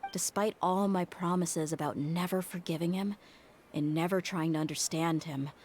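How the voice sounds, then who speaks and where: An actress playing a tough, candid young female mercenary in a video game, wistful but resolute, speaking in a measured, reflective way. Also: lowish voice, slight background noise, natural voice. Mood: bitter, reflective. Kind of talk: scripted game dialogue. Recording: prominent music under the voice.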